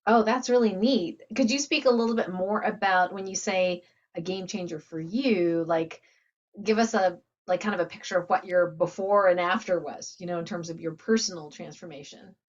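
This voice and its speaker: A woman hosting an interview, speaking enthusiastically and filling pauses with uhs. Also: fairly low pitch, highs cut off. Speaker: host, academy founder.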